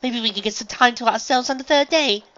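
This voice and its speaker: A man voicing a refined, excitable female unicorn fashion designer in an affected, gushing, dramatic way for a story. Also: very high-pitched, fast, lo-fi sound, voice pushed up. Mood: gracious.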